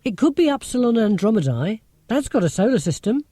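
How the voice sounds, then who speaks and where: A man's voice: sits high, sing-song, a little fast, dark tone, no echo, clean studio sound, voice pushed up. A man voicing a brainy, blunt schoolgirl in an audiobook, matter-of-fact and sceptical. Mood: knowing, sceptical.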